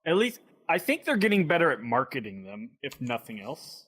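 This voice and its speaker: A man co-hosting a podcast in casual conversation, with halting, hedging phrasing. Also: medium pitch, sing-song, a bit bright, husky. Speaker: podcast co-host. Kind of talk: casual chat.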